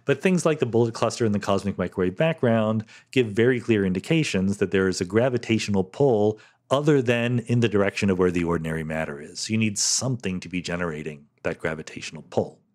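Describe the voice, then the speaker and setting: Thoughtful man with a lowish voice. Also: fast talker, a little mellow. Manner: thoughtful, explanatory, sprinkles "um" and "right?". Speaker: podcast host answering listener questions. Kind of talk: solo question-and-answer monologue.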